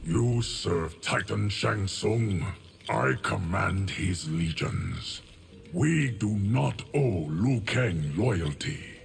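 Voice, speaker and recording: A man playing an ancient, solemn, stoic keeper of time in a video game, speaking in measured, formal, grave lines. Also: on the low side, animated, creaky, soft background music, heavily acted. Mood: grave, calm. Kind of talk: video game fight intro dialogue.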